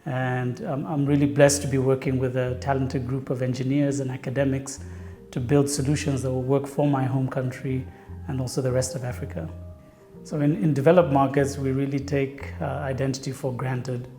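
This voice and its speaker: A man from Zambia, a company product officer, explaining in a measured interview tone. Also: medium pitch, flat delivery, warm tone, faint music behind.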